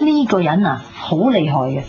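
A woman's voice: lowish voice, very animated, moderate pace. An actress playing a brash, blunt, gossipy young woman who teases her friend in a Cantonese radio drama. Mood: teasing. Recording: dull band-limited radio recording.

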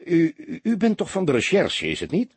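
A man's voice: sits high, huge pitch swings, normal speed, gravelly, breathy edge, no room sound, pitched above the performer's own voice, heavily acted. A man voicing a nervous, defensive young soldier in an audiobook, stammering and hesitant, sometimes indignant. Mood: indignant, bewildered.

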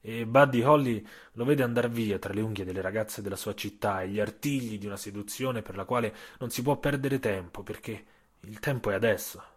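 Husky male dramatic storyteller. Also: on the low side. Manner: theatrical storytelling with emphatic phrases and deliberate pauses. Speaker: author and narrator. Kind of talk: scripted audio-story narration.

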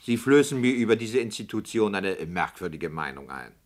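A man's voice: on the low side, big pitch swings, normal speed. An actor playing a man who questions the story and objects to the injustice. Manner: inquisitive, indignant. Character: male listener questioning the story. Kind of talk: radio drama acting.

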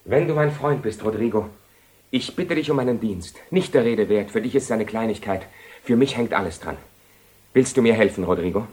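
An actor playing a restless, brooding young nobleman and doubting bridegroom in a theatrical radio drama, with a husky voice. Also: on the low side, lively intonation, fairly quick, darkish tone. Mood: urgent.

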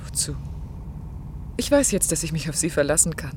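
A woman's voice: low-pitched, expressive, moderate pace, darkish tone, slight background noise. A woman acting an earnest, loyal clinic worker in a German radio play, with a sincere, questioning manner. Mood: questioning, trusting. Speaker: actress in radio drama.

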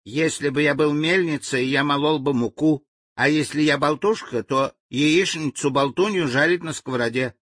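A man's voice: fairly high pitch, moderate pace, a bit bright, dry recording, clean studio sound, voice pushed up. A man voicing a timid, naive male native who takes every word literally and speaks meekly. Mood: bewildered. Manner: bewildered, meek and literal-minded.

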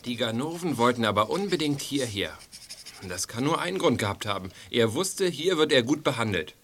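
An actor playing a self-assured teenage detective in a radio play, reasoning things out assertively. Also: male voice, mid-range voice, big pitch swings, a little fast, fairly bright tone. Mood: matter-of-fact. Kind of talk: German youth radio play acting.

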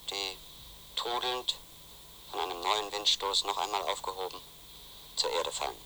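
A low-pitched, brooding man, a former editor in a radio drama. He speaks in a measured, literary, introspective way, insistent beneath it, and there is background noise on the recording. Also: bright, forceful tone, full character voice, poor audio. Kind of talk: German radio play acting and narration.

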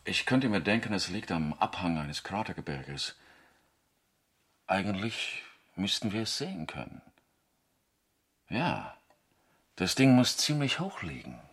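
An actor playing a grave, suspicious astronaut in a German science fiction radio drama, with a pointed way of talking. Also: male voice, deep voice, sing-song, unhurried, pauses often, a bit bright, slightly rough, exaggerated voice. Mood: pondering.

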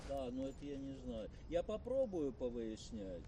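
A man's voice: medium pitch, big pitch swings, normal speed. An older man, a retired university lecturer, chatting casually and hesitantly with many filler sounds outdoors. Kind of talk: casual conversation. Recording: distant mic, loud outdoor noise.

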